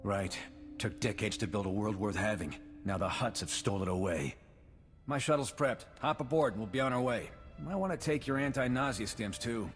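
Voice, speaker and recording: A man's voice: fairly low pitch, lively intonation, moderate pace, soft background music, close to an everyday voice. A man playing an earnest working shuttle pilot in a video game, talking in a casual, clipped, folksy way. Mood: worried, plucky. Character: earnest, awed working shuttle pilot, worried but plucky. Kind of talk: scripted video game acting.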